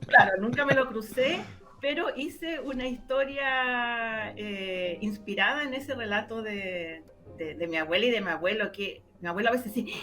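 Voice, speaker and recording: A middle-aged woman with a Chilean accent, telling stories conversationally as an interview guest, often pausing to find her words. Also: fairly high pitch, bright, forceful tone, soft background music. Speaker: interview guest, radio drama maker and journalist.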